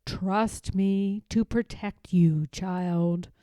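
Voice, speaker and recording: A woman voicing God as a calm, protective spirit who speaks solemnly and reassuringly, as in a storybook. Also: medium pitch, extremely slow, no echo.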